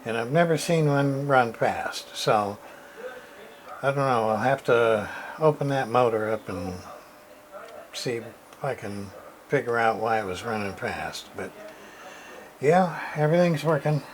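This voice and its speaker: A man talks slowly through a hands-on repair demonstration in a mid-pitched voice. He is casual and unscripted, pausing to think aloud. Background noise is audible behind him. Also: lively intonation, rough recording. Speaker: repair hobbyist showing his work.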